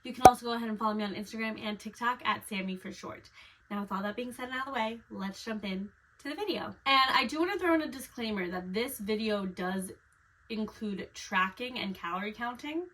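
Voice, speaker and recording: A woman's voice: on the high side, a little fast, poor audio. A woman personal trainer talking in a chatty, upbeat vlog style, explaining things step by step and reading numbers off a screen. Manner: reads figures off a screen. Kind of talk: casual fitness vlog talk.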